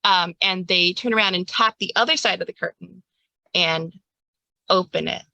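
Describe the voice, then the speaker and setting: Bright-voiced woman running a tabletop game. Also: lowish voice, normal speed, creaky, dry recording, low-quality recording. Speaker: game master. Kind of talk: improvised tabletop game narration.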